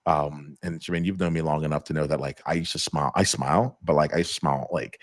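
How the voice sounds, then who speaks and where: A man in middle age hosts a live chat in an extremely deep voice, heard dry and close with no room sound. He talks loosely and searches for words, circling back through fillers and restarts. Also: steady tone, quick pace, dry recording. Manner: rambling with many restarts and fillers. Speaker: host. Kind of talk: casual live conversation.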